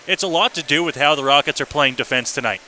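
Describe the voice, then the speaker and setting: A man's voice: fairly high pitch, quick pace, pushed and bright, slight background noise. A man working as a hockey play-by-play announcer, giving radio commentary on a game from an indoor arena. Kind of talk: radio sports commentary during intermission.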